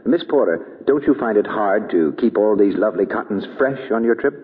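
A man's voice: lowish voice, expressive, brisk. A man working as a polished, emphatic announcer in a scripted 1940s radio advertisement. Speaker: announcer and commercial interviewer. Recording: tinny, echoing old radio, some music.

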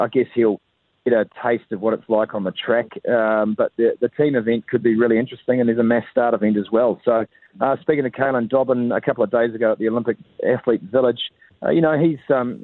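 A man's voice: mid-range voice, no echo. A man reporting by phone, chatty, with lots of "uh" fillers. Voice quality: tinny phone line. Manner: conversational, frequent "uh" fillers. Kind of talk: live phone cross to a radio show. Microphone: telephone. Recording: phone line.

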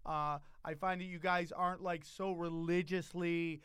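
A comedian hosting a casual interview, rambling and joking loosely with some swearing. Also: male voice, high voice, little pitch movement, moderate pace, a bit bright, clear, resonant tone, no room sound, clean studio sound. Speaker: podcast host, stand-up comedian.

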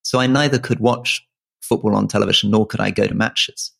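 A man who grew up in Malaysia co-hosting a casual political podcast, earnest and halting, often restarting and repeating words. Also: on the low side, steady tone, creaky, a bit bright. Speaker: podcast co-host.

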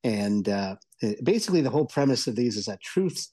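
A man giving a conversational review as a radio columnist, explaining things eagerly in a halting way. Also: mid-range voice, very animated, dark tone, breathy edge. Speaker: audio entertainment columnist and reviewer.

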